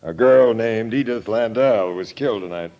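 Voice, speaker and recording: An actor playing a brisk, no-nonsense police captain giving orders and questions in a 1940s radio crime drama. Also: male voice, lowish voice, highs cut off, lightly acted. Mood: dry, probing. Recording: faintly noisy old radio recording.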